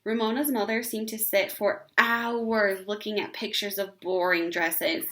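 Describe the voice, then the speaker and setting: A woman narrating a children's story aloud in an expressive read-aloud style. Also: medium pitch, measured pace.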